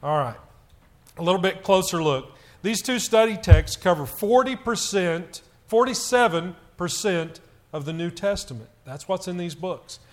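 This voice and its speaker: A middle-aged man with a fairly high, loud voice gives a church announcement to the congregation. He talks in a halting way, often filling gaps with "uh" and restarting his sentences. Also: lively intonation. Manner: loud voice, halting with many fillers. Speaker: church elder.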